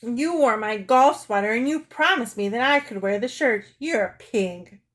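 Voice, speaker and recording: A woman's voice: lively intonation, moderate pace, a bit bright. A woman voicing a childish, quarrelsome mother in a children's story, squealing and whining. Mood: whiny, bawling. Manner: shrill squealing, wailing, whiny.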